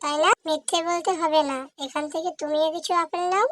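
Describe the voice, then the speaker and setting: A woman's voice: very high-pitched, steady tone, fairly quick, a bit bright. A woman voicing an earnest, hardworking little female bird in a children's cartoon, in a childlike manner. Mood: indignant.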